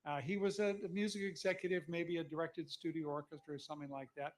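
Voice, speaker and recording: A man's voice: fairly high pitch, steady tone, fast. A man from California talking to an audience from the stage as conductor of a concert, in a conversational way with small restarts. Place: outdoor concert.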